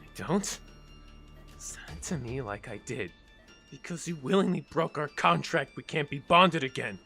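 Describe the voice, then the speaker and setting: A man playing a bitter, wounded young man in an audio drama, with a raspy voice and a blunt, accusing manner. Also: a little high, animated, measured pace, bright, forceful tone, faint music behind, exaggerated voice. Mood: furious.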